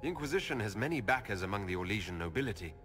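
A man voicing a male elf Inquisition leader, calm and direct, in game audio with hall reverb and score. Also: fairly low pitch, racing, music in the background. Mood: firm.

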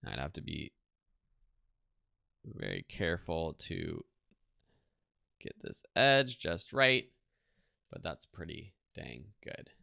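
Guy in his 30s doing a chill screen tutorial, deep voice, dry room sound. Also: big pitch swings, slow pace, vocal fry, long pauses, sharp tone, no room sound. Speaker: software tutorial instructor. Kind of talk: casual step-by-step screen tutorial.

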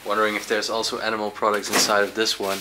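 A young man casually exploring a dark abandoned aquarium, curious and describing what he finds, with short laughs. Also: lowish voice, monotone, fast talker, music in the background. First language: Dutch. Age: young adult. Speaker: urban explorer.

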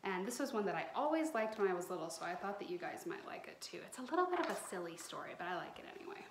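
A woman in her thirties reading a picture book aloud to children with dramatic, drawn-out emphasis. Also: medium pitch, sing-song, a little hiss or hum. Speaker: children's storyteller. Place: small bright room indoors. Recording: roomy indoor echo.

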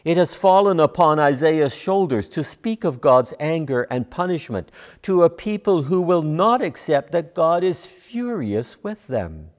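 Older male preacher, unhurried and deliberate sermon delivery, long church reverb. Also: fairly high pitch, big pitch swings. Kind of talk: sermon, scripted and measured. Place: large church sanctuary, at a lectern. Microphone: lapel mic.

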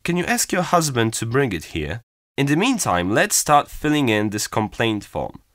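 A man giving a clear, careful scripted reading for a language listening exam. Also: mid-range voice, big pitch swings, normal speed, fairly bright tone, dry recording, studio quality. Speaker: exam recording reader.

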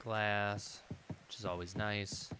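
A man's voice: fairly low pitch, little pitch movement, takes it slowly, noisy background. A man in his thirties giving casual, rambling game commentary, thinking aloud as he plays. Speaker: gaming video host.

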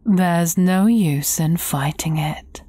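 A woman playing a menacing, gloating evil fairy sorceress in a fairy-tale audio drama, taunting deliberately and often dropping near a whisper. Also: on the low side, mellow, slight background noise. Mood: cold menace.

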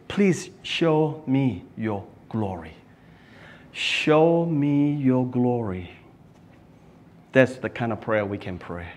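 A middle-aged man preaching at a lectern in a small church, swinging between loud cries and hushed prayer. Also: on the high side. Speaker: pastor. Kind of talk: sermon. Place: small church hall, indoors. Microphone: stand mic at a lectern.

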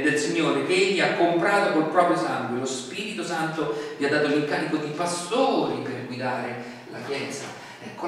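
A man's voice: on the high side, a bit bright. A man, conversational, with rhetorical questions and frequent fillers. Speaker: pastor. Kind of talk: sermon. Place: small church hall, indoors. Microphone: distant camera mic. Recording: echoey hall from far off with faint noise.

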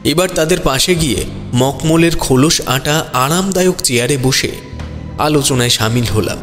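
A man's voice: medium pitch, normal speed, a bit bright, natural voice. A man voices a detective's companion telling a mystery in a scripted audio drama. His voice rings with long reverb, and music plays behind it. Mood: measured storytelling. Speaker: narrator. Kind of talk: scripted first-person audio story narration.

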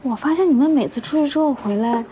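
A mellow-voiced female office colleague played by an actress in a TV drama. She is casual, curious and teasing, a little high in pitch, with faint noise behind. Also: quick pace, natural voice. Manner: casual, inquisitive. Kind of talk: naturalistic TV drama acting.

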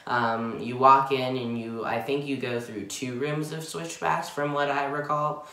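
A man's voice: medium pitch, fairly even intonation, unhurried, bright, forceful tone, far from the mic. A teenage boy reviewing a roller coaster in a casual, rambling way, full of ums and self-corrections, filmed in his bedroom by a camera a few feet away. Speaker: vlogger. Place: bedroom, indoors. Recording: roomy sound from off-mic.

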